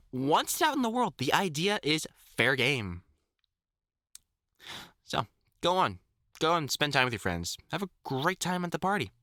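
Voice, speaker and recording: A man plays a seasoned vampire hunter in an intimate audio drama, middle-aged, wry and protective. The delivery is lively and varied, with a bright, forceful edge. It is recorded very dry and close, with informal muttered asides. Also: a little high, no echo. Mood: fond, teasing. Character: gruff, caring, experienced male vampire hunter, wry and teasing. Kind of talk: intimate audio roleplay acting.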